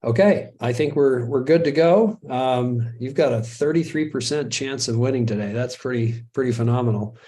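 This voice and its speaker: A man's voice: medium pitch, moderate pace, few pauses, warm tone. A middle-aged American man hosting a live webinar in a casual, chatty way, joking at his own expense and chuckling often. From United States. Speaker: webinar host.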